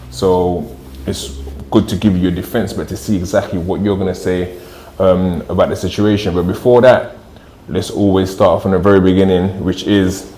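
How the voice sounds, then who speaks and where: A man hosting a casual podcast interview, asking relaxed, probing questions. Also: low-pitched. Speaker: podcast host. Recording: echoey room with faint noise.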